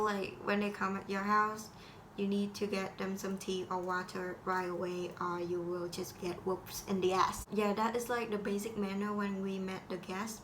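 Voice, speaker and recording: A teenage girl from Vietnam with a Vietnamese accent, speaking English as a second language in a halting school assignment talk full of restarts. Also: medium pitch, fairly bright tone, slight background noise, rough recording. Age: teens. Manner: halting, with frequent restarts and grammar slips. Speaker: high school student.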